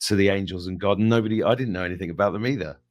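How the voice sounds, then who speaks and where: Male interviewer, lowish pitch, casual and conversational, slightly hesitant phrasing. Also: rapid-fire.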